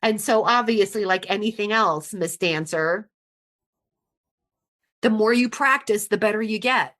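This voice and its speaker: A woman speaks as a podcast guest in a bright, forceful voice. Her talk is casual and frank, and she stresses her points by repeating them. She sits at a middling pitch for a woman. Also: measured pace.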